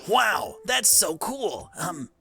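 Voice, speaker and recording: A man voicing an eager, curious young creature in a video game, excitable and childlike in manner. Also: sits high, slow, creaky, soft background music, full character voice. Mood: impressed. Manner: excitable, simple childlike questions.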